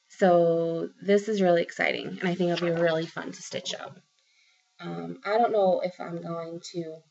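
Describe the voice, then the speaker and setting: Woman, forties, Boston accent, gravelly, rambling chatty vlogger, home webcam, muffled audio. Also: lowish voice. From Boston area, Massachusetts. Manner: chatty, rambling, many ums and restarts. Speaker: craft vlogger. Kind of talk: casual chat to camera. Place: small room at home, indoors. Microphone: webcam close up. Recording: dull lo-fi webcam sound.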